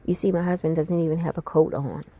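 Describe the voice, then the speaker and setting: A woman's voice: low-pitched, steady tone, fast, dark tone, no room sound. A woman narrating her travel vlog in a chatty, explanatory way, giving practical tips to viewers. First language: not Icelandic. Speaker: travel vlogger.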